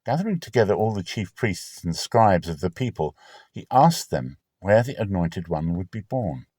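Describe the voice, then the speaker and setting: A man giving a dramatic scripture reading in a solemn, theatrical, deliberately phrased manner. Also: deep voice, very animated, a little mellow, slightly rough, no room sound. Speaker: Bible reader, channel host.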